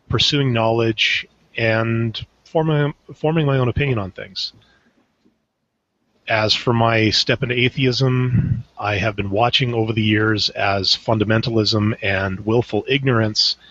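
A man from Canada, a roundtable guest, speaking in a measured, thoughtful way over a video call. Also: fairly low pitch, fairly bright tone. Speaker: panel guest. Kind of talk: casual roundtable talk. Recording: dull lo-fi sound.

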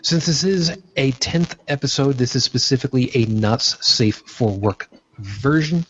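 A man's voice: mid-range voice, normal speed, a bit bright, breathy edge. A man from New York hosting a casual roundtable, talking bluntly and swearing freely over a video call. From New York, United States. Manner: blunt and profane. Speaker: podcast host. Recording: dull lo-fi sound.

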